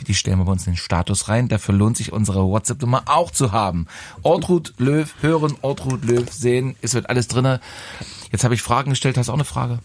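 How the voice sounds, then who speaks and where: Fast-talking radio host in his forties, male. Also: mid-range voice, a little hiss or hum. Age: 40s. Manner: playful, teasing, talkative. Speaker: radio morning show host. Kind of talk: chatty live radio presenting. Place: radio studio. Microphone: close studio microphone.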